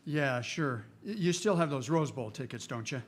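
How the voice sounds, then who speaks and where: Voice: medium pitch, lively intonation, moderate pace, lightly acted. An actor playing an amiable, slightly hesitant male police inspector in a vintage radio comedy-drama.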